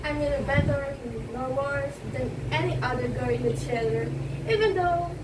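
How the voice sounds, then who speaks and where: A distant, noisy recording carries a high female voice. It is a woman acting a self-possessed stage actress in a play, worldly, teasing and condescending. Her emphasis is grand and theatrical. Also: close to an everyday voice. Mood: condescending, advising. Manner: theatrical, lofty, drawn-out emphasis. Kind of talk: amateur one-act stage play acting. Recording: echoey, distant room recording with loud noise.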